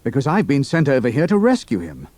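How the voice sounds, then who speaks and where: A brisk, plucky young flying officer in an audio drama. He has a British accent and a mid-range voice with lively, mobile intonation. The recording is very dry. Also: medium pitch, no echo. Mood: clipped, cool.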